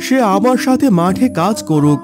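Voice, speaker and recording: Poor tired farmer in a cartoon, high voice, up n down a lot, humble and plaintive, music behind. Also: male voice, lively intonation, moderate pace. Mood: resigned, resolute. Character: poor, weary, downtrodden farmer and husband. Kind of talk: Bengali moral-story cartoon dubbing.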